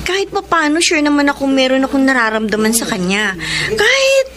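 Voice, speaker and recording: A woman's voice: sits high, very animated, fairly quick, crowd noise. A woman playing a candid, romantically unsure young student in a radio soap, with a Filipino accent. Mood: earnest, assured. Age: young adult.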